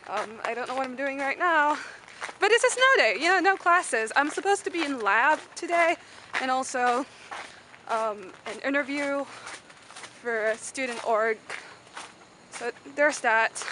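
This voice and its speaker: High-voiced young woman rambling over background noise. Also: lively intonation, a little slow, long pauses. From Malaysia. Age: young adult. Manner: rambling, filler-heavy, informal. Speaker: student vlogger. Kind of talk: casual, unscripted walking-tour vlog. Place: mostly outdoors on a university campus. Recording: noisy handheld outdoor camera audio.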